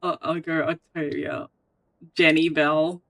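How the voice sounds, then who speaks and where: Slow, giggly woman streamer. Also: low-pitched, little pitch movement, creaky, fairly bright tone, dry recording. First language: not Russian. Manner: giggly, slow, reads dialogue choices aloud. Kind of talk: casual livestream gameplay.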